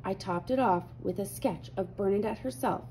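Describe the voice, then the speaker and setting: A woman reading a children's picture book aloud with animated, dramatic emphasis. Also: medium pitch, moderate pace, slight background noise, low-quality recording. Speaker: read-aloud host.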